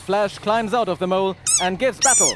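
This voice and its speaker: A man narrates an old-style radio serial in a declamatory, scripted manner. His voice is high for a man, over clearly noisy background ambience. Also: brisk.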